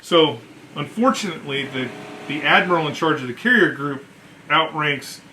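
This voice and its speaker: A man's voice: fairly high pitch, moderate pace, a little hiss or hum, poor audio. A man who is a military veteran tells war stories casually, acting out what others said in colloquial, profane language. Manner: profane, colloquial, animated retelling. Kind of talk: casual storytelling with acted-out quotes.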